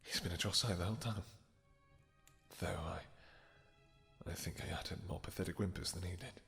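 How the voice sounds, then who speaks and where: A man playing a devoted, guilt-ridden vampire king in an intimate romantic fantasy roleplay, speaking haltingly with emotion and formal, archaic endearments. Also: low voice, a bit bright, faint music behind. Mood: protective, tender. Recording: long hall-like reverb.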